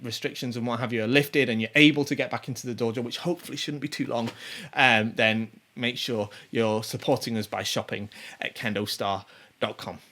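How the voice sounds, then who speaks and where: A man's voice: medium pitch, fairly even intonation, a little fast, a bit bright. A man in his forties hosting a show, giving casual commentary on tournament players, full of ums, restarts and little chuckles. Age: 40s. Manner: rambling, many ums and restarts; chatty, chuckles to himself. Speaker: show host.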